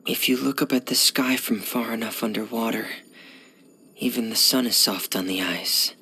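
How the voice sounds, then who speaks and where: A man playing a shy, gloomy teenage boy diver in video game voice lines, speaking softly and hesitantly in a hushed, breathy voice. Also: medium pitch, normal speed, slight background noise. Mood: calm, pensive. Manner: hesitant, reserved, subdued.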